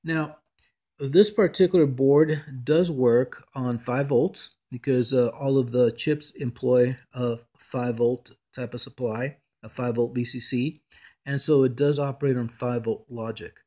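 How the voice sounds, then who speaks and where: A man giving an unscripted technical demonstration as an electronics hobbyist, explaining in a measured, halting way. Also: mid-range voice, steady tone, darkish tone.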